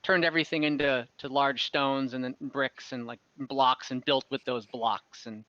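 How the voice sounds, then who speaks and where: Male game master, forceful and slightly high, improvising fantasy scenes in a halting, rambling way; dry, slightly muffled recording. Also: fairly even intonation, dry recording. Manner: halting, rambling, self-correcting. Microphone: online voice call, close microphone.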